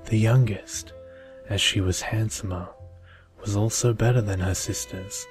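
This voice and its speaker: A young man speaks gently in a soothing ASMR reading style. His pitch sits a little low and stays very flat, with measured phrasing. Quiet music plays softly underneath. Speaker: video creator reading a bedtime story. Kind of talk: soft ASMR storybook reading and intro.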